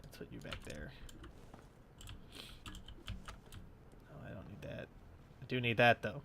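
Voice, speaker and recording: A man's voice: medium pitch, very animated, slow, creaky, fairly bright tone, a little hiss or hum. A man with a slightly stuffy nose doing casual, sweary let's play commentary, thinking aloud as he goes. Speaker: gaming YouTuber. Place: his room at home.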